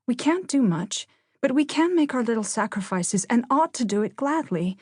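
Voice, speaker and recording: A woman's voice: on the high side, animated, normal speed, darkish tone, husky, no room sound, studio quality, voice pushed up. A woman voicing a proper teenage eldest sister, sweet but complaining, with a lecturing, plaintive manner in an audiobook. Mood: earnest, regretful.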